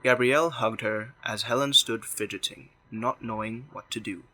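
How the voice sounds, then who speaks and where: Bright, crisp-edged male story narrator. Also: medium pitch, fairly even intonation, moderate pace, low-quality recording.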